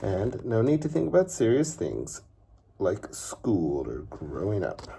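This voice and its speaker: A man voicing a brisk, mysterious woman nanny as he reads a storybook aloud. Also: on the low side, wildly swooping pitch, creaky, dark tone, a little hiss or hum, full character voice. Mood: gently firm. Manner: brisk, calling out.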